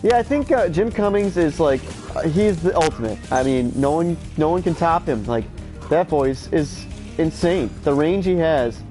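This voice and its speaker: A man's voice: fairly high pitch, lively intonation, normal speed, dark tone. Rambling, profane man in his thirties. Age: 30s. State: stuffy, short on sleep. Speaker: streamer. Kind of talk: casual gameplay chatter. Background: game music.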